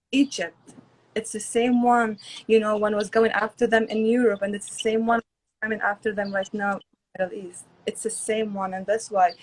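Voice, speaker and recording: A woman's voice: fairly high pitch, poor audio. A woman from Lebanon speaking earnestly and emphatically on a casual live-stream chat, often restarting her sentences. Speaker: guest.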